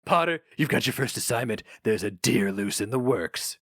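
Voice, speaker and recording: A man voicing a brash, cynical, fast-talking male publicity boss, barking and emphatic, in a story reading. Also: medium pitch, expressive, fairly bright tone, no echo, clean studio sound. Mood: excited urgent.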